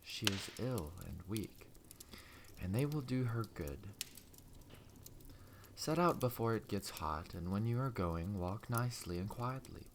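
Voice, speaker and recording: A man voicing a caring storybook mother giving instructions in a soft, gently firm manner. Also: fairly low pitch, animated, unhurried, pauses often, slight background noise. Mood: gently instructing.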